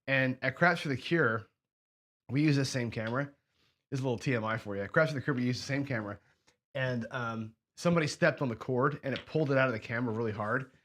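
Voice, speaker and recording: A man hosting a craps strategy show, hoarse and sniffly, chatting casually as he thinks aloud and counts out chips and bets. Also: mid-range voice, quick pace, fairly bright tone. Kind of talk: casual play-along commentary.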